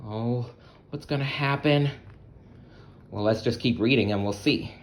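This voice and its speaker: A man reading a children's story aloud in a gentle, expressive way, speaking directly to young listeners. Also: mid-range voice, measured pace, a little hiss or hum. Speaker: storybook reader. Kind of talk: animated children's read-aloud.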